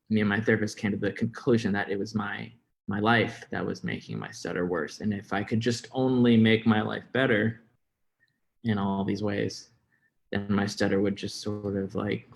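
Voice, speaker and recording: A young American man speaks in a low-pitched voice with noticeable fry. He stutters and hesitates, repeating words. His delivery is informal and candid, as a guest being interviewed. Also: normal speed. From Florida, United States. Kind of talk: candid personal interview talk.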